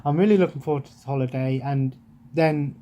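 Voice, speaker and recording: A man's voice: fairly high pitch, brisk, mellow, highs cut off. Rambling young English guy on his sofa. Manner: rambling, absent-minded, many restarts. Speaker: gaming vlogger. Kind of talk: casual chat to camera. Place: living room at home, sofa. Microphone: webcam at close range.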